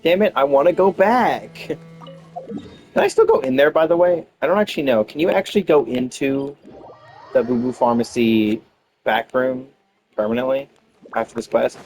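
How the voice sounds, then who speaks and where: A man's voice: medium pitch, normal speed, pauses often, a little mellow, soft background music. A man giving casual gameplay commentary, rambling and thinking aloud as he goes. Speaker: gamer commenting on own playthrough.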